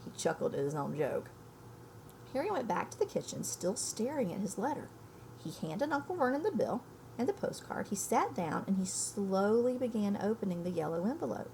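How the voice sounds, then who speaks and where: A woman reads a children's story aloud with very lively, swinging intonation. Her delivery is explanatory and informal, as if guiding young listeners. There is faint noise behind her voice. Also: mid-range voice, moderate pace, gravelly, poor audio. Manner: explanatory, checks understanding with listeners. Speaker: reader reading a children's book aloud. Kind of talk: expressive read-aloud with informal explanatory asides.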